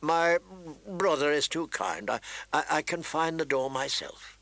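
A man's voice: fairly high pitch, lively intonation, a little slow, fairly bright tone. A man voicing an amused, mocking lord with a wry, teasing manner in a storybook reading. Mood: wry amusement. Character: amused mocking young lord, the king's brother.

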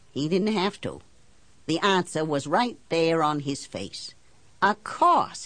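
A woman acts out an island housewife with no polish and plenty of grievance, in an audiobook monologue. Her voice sits a little low and swings widely as she talks. The talk is folksy and indignant, full of country grammar, in a close, dry recording. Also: breathy edge, dry recording, heavily acted. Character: blunt, embittered working-class island housewife telling her story. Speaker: audiobook narrator. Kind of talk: first-person storytelling in character.

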